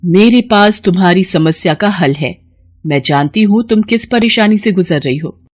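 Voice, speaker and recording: A woman's voice: mid-range voice, lively intonation, normal speed, a little mellow, close to an everyday voice. A woman voicing a kindly, mysterious sorceress in a storybook tale, assured and instructive. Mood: reassuring. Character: kindly mysterious sorceress who helps the sorrowful.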